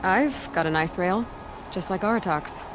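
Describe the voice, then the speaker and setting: A woman's voice: lowish voice, moderate pace, music in the background, lightly acted, rough recording. A woman acting a resolute young huntress heroine in a video game, dry and direct. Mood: wry, sincere.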